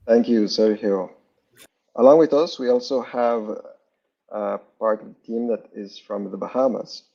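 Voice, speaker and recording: A man's voice: lowish voice, unhurried, vocal fry, mellow. A man hosting an online training over a video call, formally making announcements and introducing the team. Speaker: training host. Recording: dull lo-fi video call audio.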